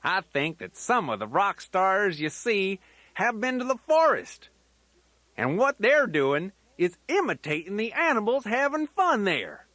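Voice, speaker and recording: Old grandpa teddy bear for a kids story tape, bright and high voice, folksy, rambly, playful. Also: male voice, very animated, measured pace, no room sound, exaggerated voice. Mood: cranky, amused. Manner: folksy, rambling, sing-song rhyming asides. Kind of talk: scripted children's toy storytelling tape.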